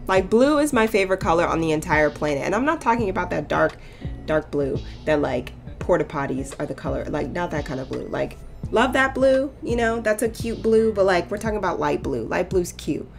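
A young woman chatting casually over her game build, her voice a bit hoarse and cracking, rambling into playful asides. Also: lowish voice, lively intonation, a little fast. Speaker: sims builder YouTuber. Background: soft background music.

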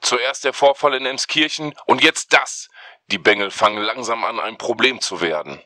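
Casting: man as scheming villain chief, forceful, mid-pitched, dry close sound, audio-drama parody. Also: moderate pace, no room sound, close to an everyday voice. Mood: irritated. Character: menacing secret conspiracy mastermind.

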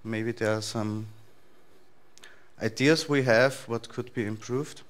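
A man in his thirties with an Austrian accent, giving a relaxed, loosely structured talk to a conference audience in a large lecture hall. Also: medium pitch, slow pace. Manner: relaxed, thinking aloud with frequent fillers and restarts. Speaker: conference speaker.

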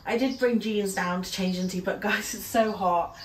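A woman's voice: mid-range voice, fairly even intonation, moderate pace, a little hiss or hum. A young woman with a stuffy nose from a cold, chatting casually with lots of ums and little laughs while trying on clothes at home. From United Kingdom. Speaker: fashion vlogger. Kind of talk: casual try-on haul chat. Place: small room at home, indoors. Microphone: camera across the room. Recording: roomy echo, voice a little far from the mic.

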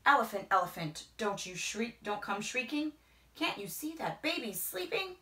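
A middle-aged woman reads a picture book aloud to children in a rhythmic, singsong way, often dropping into hushed whispers. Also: fairly high pitch, very animated, moderate pace. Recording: roomy indoor echo.

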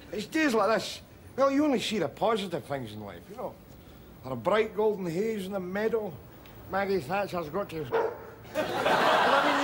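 A man's voice: high-pitched, background noise. An actor playing a cynical, cheerful, middle-aged drinker who chuckles through his jokes and keeps asking "eh?". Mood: wry. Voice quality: chuckling, wry, chatty. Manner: asks for agreement with "eh?" and "you know". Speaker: main character. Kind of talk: film drama acting.

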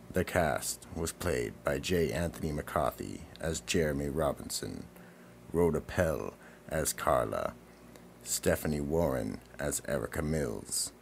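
A man delivering scripted narration for a radio mystery in a measured, grave manner. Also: rumbling low, vocal fry, slight background noise.

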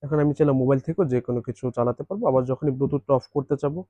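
A man walks through a product demonstration, explaining each step practically and quickly. His voice is dark and mellow, and the recording sounds dry and a little dull. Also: medium pitch, no room sound.

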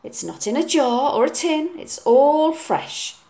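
A woman voicing a patient, brisk mother in a storybook, coaxing and exclaiming. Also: sits high, animated, mellow, pitched above the performer's own voice, exaggerated voice.

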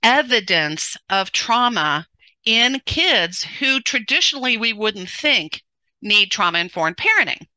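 A middle-aged woman speaking as a parenting coach in a relaxed interview, with a loud, forceful and very bright voice. She gestures animatedly and often restarts her sentences. She is on a headset from home, and the sound is close and dry. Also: on the high side, slow pace, no echo, rough recording. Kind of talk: casual interview conversation. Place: home room, indoors. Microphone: headset on a video call.

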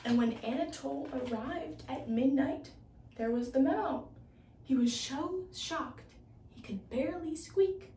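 A teenage young woman reads a children's story aloud in a deliberate manner, occasionally stumbling over a word. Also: high voice, warm tone, slight background noise. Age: teens, high school junior. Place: small room indoors. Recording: roomy, distant microphone.

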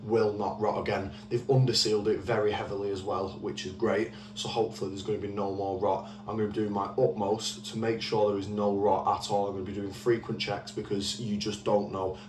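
A man with a British accent giving casual, informal and explanatory commentary as a car restoration vlogger. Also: on the low side. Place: in a car, out on a street, and at a computer. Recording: noisy handheld recording, vehicle ambience.

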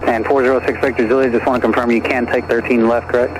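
A man's voice: medium pitch, flat delivery, fast. A man working as an air traffic controller, giving procedural instructions over aviation radio.

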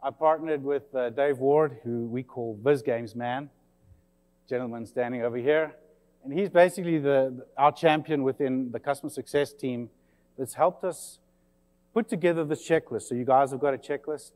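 A man's voice: medium pitch, normal speed, darkish tone, long reverb tail. A man in his forties with a South African accent, giving a friendly, chatty conference talk with many fillers. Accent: South African, slightly English-tinged. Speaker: conference presenter. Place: conference session room.

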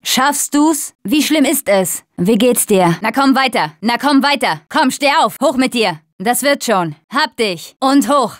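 A young female zombie-apocalypse survivor from a video game, with a high voice. She talks tough but cares for her team, and delivers her short lines at an unhurried pace. The sound is dry and close. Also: expressive, dry recording. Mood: reassuring.

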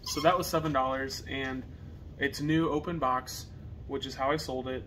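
A young man with an American accent chatting casually to camera as a reseller, with halting phrasing. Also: medium pitch, fairly even intonation, fairly bright tone. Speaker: reseller co-hosting a video channel. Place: partially finished basement, indoors. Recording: noisy basement room recording.

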